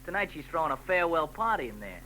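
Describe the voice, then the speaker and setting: An actor playing a cheeky, streetwise young newsboy in a 1940s radio drama, chatty and knowing. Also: male voice, a little high, very animated, quick pace, sharp tone, low-quality recording. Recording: old radio broadcast with hiss.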